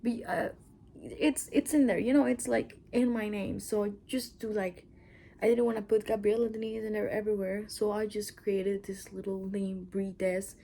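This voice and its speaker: A woman with a Mexican accent talks in a loose, rambling vlog. Her voice is mellow with a gravelly edge, and she sometimes sinks into a whisper. Faint noise hums behind her. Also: mid-range voice, steady tone, normal speed. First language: Spanish. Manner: chatty, rambling, restarts and asides. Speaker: channel host. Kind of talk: casual personal vlog.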